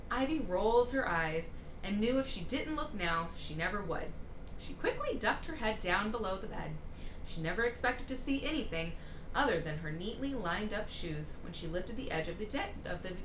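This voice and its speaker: A woman's voice: mid-range voice, animated, moderate pace. Woman reading a children's story, echoey room. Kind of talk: expressive children's story read-aloud. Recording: roomy echo with background hiss.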